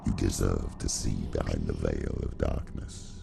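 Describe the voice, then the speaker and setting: A man's voice: rumbling low, level tone, moderate pace, gravelly, heavy background noise, full character voice. A man voicing a grave, remorseful shadow of darkness in a video game, solemn and portentous, with a guttural growl. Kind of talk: dramatic video game acting.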